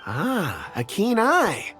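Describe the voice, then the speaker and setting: Animated, eccentric rock enthusiast. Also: male voice, high-pitched, extremely slow, faint music behind, exaggerated voice. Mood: admiring, enthused. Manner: effusive, florid, friendly. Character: eccentric, forgetful, chatty male rock expert. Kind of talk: video game voice acting.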